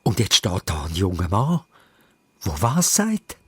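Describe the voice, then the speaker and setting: A man's voice: sits low, wildly swooping pitch, unhurried, a bit bright. A man with a Swiss German accent narrating a children's story dramatically, in short, suspenseful phrases. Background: music.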